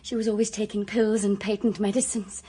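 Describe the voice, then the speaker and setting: A woman's voice: medium pitch, level tone, brisk, mellow, slightly rough, lightly acted. An actress playing a frightened, earnest young woman in a radio drama, hesitant and pleading in manner. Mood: anxious, earnest. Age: young adult. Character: frightened, earnest 28-year-old female companion accused of murder.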